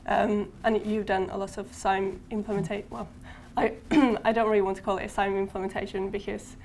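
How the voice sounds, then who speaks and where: A woman whose first language is Finnish, speaking as a podcast guest at a conference and pausing often with thoughtful ums. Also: medium pitch, level tone, darkish tone, slight background noise. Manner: thoughtful, hesitant with frequent ums. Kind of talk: conversational podcast interview. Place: conference venue.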